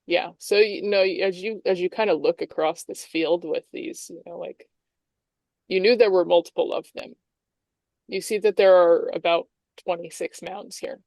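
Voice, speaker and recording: A woman's voice: on the low side, fairly even intonation, moderate pace, a little mellow, no room sound. A woman game master improvising tabletop game narration in a conversational, explanatory way.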